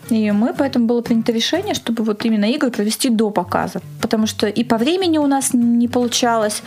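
A woman giving a casual, animated account in an interview as an event organiser, telling it in short repeated phrases. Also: a little high.

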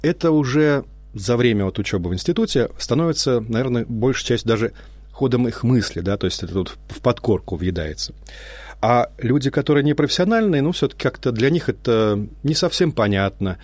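A man's voice: mid-range voice, expressive, fairly quick, darkish tone, dry recording. A man directing a radio theatre, giving a reflective spoken introduction to a radio play, explaining at length with hesitant fillers.

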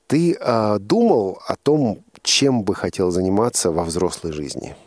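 Low-voiced man hosting live radio. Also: expressive, a little slow, a little mellow, no echo. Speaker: radio host.

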